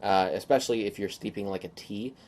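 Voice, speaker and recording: Slightly hoarse man rambling on a podcast. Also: fairly low pitch, a little fast, a little mellow. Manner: rambling, many fillers and restarts. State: slightly hoarse, sore throat. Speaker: podcast host. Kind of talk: casual solo podcast monologue.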